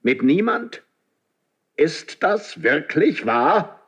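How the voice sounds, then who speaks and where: A man's voice: on the high side, big pitch swings, drawn-out words, fairly bright tone, husky, full character voice. An actor playing a stern, jealous king in a 1970s German radio play, speaking formal verse with command and suspicion. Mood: accusing. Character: stern jealous king, suspicious and menacing toward his wife.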